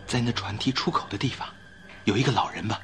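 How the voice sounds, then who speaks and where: Man acting suave, theatrical gentleman over music. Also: medium pitch, fast, close to an everyday voice. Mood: intent, knowing. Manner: courteous, theatrical. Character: suave gentleman traveler, romantic, composed, secretly sly. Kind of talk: Mandarin radio drama acting.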